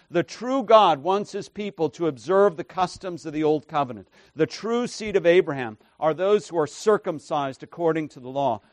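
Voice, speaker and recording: A man's voice: on the high side, no echo. Emphatic preacher man, dry sound. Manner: emphatic, tags points with "right?"; loudness swings from soft to forceful. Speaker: pastor. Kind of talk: expository sermon.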